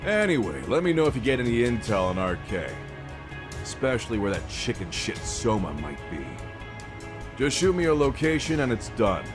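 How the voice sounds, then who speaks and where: An actor playing a brash, hot-headed gang boss in a video game dub, with slangy, swaggering tough talk. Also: male voice, mid-range voice, sing-song, normal speed, lightly acted. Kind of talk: English-dub video game cutscene acting. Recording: music behind the voice.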